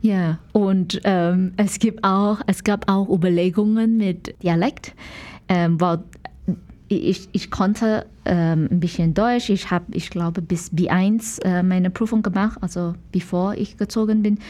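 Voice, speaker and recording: A woman's voice: fairly low pitch, steady tone, a little slow, dark tone. A woman from Malaysia with a Malaysian accent answers conversationally as an interview guest, searching for words in a language she learned later, her native ones being English, Mandarin and Malay. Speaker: guest, dancer and dance teacher.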